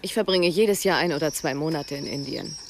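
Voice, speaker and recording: A woman's voice: sits low, fairly quick, a little hiss or hum. An actress playing a self-assured, seasoned woman traveller in a radio drama, blunt, cool and knowing. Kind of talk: German radio drama acting.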